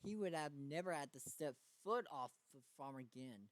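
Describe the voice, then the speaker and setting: A man's voice: on the high side, expressive, moderate pace, no room sound, close to an everyday voice, low-quality recording. A man voicing a weary pony soldier who berates himself in an exasperated inner monologue. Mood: bitter, exasperated.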